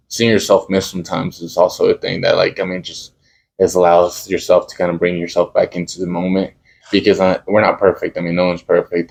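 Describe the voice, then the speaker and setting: A young man, a college football kicker from Dallas whose first language is Spanish, answering interview questions casually and searching for words. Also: sits low. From Dallas, Texas. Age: young adult. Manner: halting, searching for words with many fillers.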